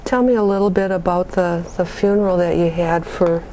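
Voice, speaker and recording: Woman acting a gentle, mellow, even-toned bereavement counselor. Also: lowish voice, a little hiss or hum, natural voice, low-quality recording. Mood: calm, reassuring. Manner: gentle, measured, reassuring. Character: patient, supportive female bereavement counselor. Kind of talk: role-played counseling phone conversation.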